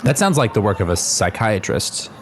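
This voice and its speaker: An actor playing an awkward, concerned male coworker in improvised audio comedy, speaking haltingly and tentatively as he hedges diplomatically. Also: fairly low pitch, sing-song, a crowd in the background.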